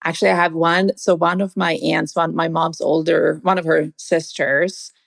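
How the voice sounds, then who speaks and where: Woman from Sweden, podcast guest, low voice, gravelly fry, dry close sound. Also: little pitch movement, normal speed, no room sound. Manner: reflective, warm storytelling with many "like" fillers. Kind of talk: casual conversation.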